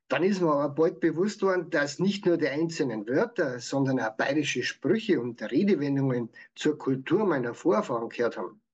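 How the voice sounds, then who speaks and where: A man's voice: on the high side, a little mellow, breathy edge, dull audio. A man with a Bavarian accent, explaining things in prepared answers as an author being interviewed. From Bavaria, Germany. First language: Bavarian dialect.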